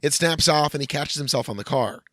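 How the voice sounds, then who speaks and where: A man's voice: fairly high pitch, fairly quick, a bit bright, no echo, studio quality. A man co-hosting a podcast, bantering casually and reading aloud with a sardonic edge. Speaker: podcast co-host.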